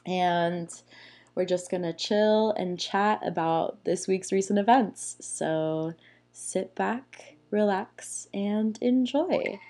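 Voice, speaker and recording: A young woman hosting a casual solo podcast, hoarse and raspy from being sick, chatty and playful. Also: mid-range voice, takes it slowly, mellow. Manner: chatty, playful, rambling with fillers. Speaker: podcast host. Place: small room at home.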